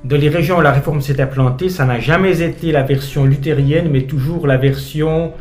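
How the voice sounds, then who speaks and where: Hesitant middle-aged pastor. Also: male voice, a little high, steady tone, darkish tone, roomy sound, faint music behind. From Switzerland. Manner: thoughtful, hesitant, many pauses and "euh". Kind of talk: radio interview guest.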